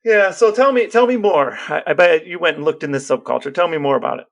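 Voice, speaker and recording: A man's voice: a little high, quick pace, creaky, lo-fi sound. A man co-hosting a podcast in casual chat, with a wry, dry sense of humour. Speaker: podcast co-host.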